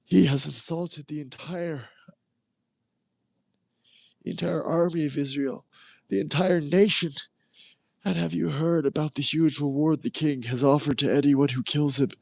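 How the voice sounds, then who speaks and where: A man voicing frightened soldiers excitedly passing along rumors. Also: fairly high pitch, measured pace, vocal fry, pauses often, no room sound, pitched above the performer's own voice. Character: frightened soldiers gossiping, male.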